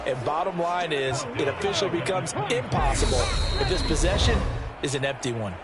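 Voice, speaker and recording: A man's voice: a little high, lively intonation, a little fast, over loud music, lightly acted. A man acting as an American football color commentator, explaining in a conversational, folksy way. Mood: analytical. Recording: loud game stadium noise behind.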